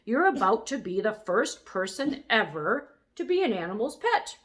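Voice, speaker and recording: A woman voicing a huge, polite male brontosaurus with a rumbling voice in a storybook reading. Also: moderate pace. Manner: polite and calm.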